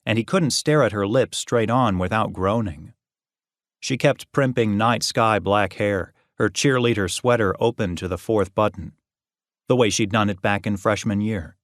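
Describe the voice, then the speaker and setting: A man's voice: lowish voice, no room sound. Man narrating fiction, dry close sound. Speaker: audiobook narrator.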